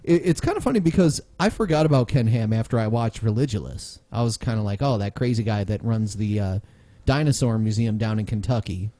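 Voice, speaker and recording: Sarcastic young podcast host. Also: male voice, on the low side, fairly quick, warm tone, no room sound. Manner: sarcastic, chatty. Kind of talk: casual podcast chat.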